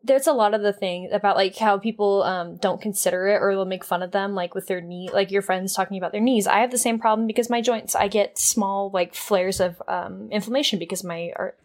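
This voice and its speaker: A young woman chatting on a podcast in a loose, rambling way, restarting her thoughts and dropping swear words without pause. Her pitch sits in the middle of the range and her delivery is unpolished. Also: fairly even intonation. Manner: rambling, candid, swears freely, trails off and restarts. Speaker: podcast co-host. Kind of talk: casual podcast chat.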